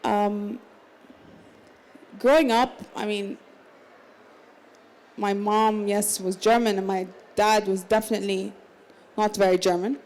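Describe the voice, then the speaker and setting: A woman's voice: mid-range voice, fairly even intonation, slow pace, long pauses, a little mellow, low-quality recording. A woman in her thirties, raised in the Emirates, talking casually as a panel guest at a literary salon. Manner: conversational, with restarts and small laughs. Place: literary salon at a book fair.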